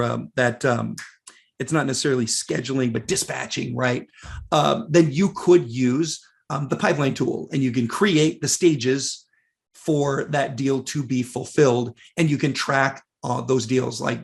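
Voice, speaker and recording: Male webinar presenter, mid pitch, raspy, gravelly, voice fading. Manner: casual, many fillers, reads out viewer questions. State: losing his voice, clearing throat. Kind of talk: live software webinar walkthrough.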